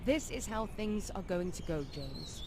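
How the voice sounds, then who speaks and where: A woman's voice: lively intonation, measured pace, background noise, lightly acted. A woman playing an ancient noble vampire in a video game, coolly commanding, with an imperious, sardonic and theatrical delivery. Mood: cool, measured. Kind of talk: scripted video game voice acting. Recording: birdsong and rumbling ambience behind.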